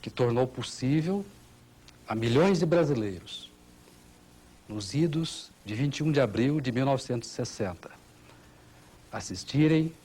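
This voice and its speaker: A Brazilian man with an average-pitched voice gives documentary testimony. His delivery is solemn and formal, broken into short, measured, rhetorical phrases. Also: a little mellow. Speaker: engineering manager giving testimony.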